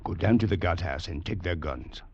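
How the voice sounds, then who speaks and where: An actor playing a ruthless, contemptuous commandant in a 1940s radio drama, barking menacing orders. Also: male voice, sits low, animated, brisk, husky. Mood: cold menace. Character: ruthless French Foreign Legion commandant, brutal and contemptuous. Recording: dull, noisy 1940s radio recording.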